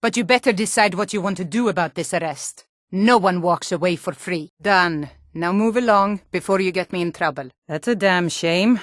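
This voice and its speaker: A woman's voice: lowish voice, normal speed, hardly any pauses, dry recording, clean studio sound, lightly acted. An actress voicing a tough Nord guard and bandit woman in a fantasy video game, stern, threatening and taunting. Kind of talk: video game character voice lines.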